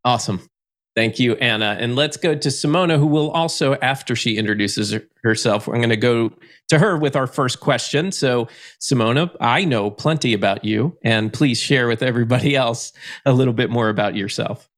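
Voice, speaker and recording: Brisk male panel moderator. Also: mid-range voice, fairly bright tone. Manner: friendly, brisk, laughs easily.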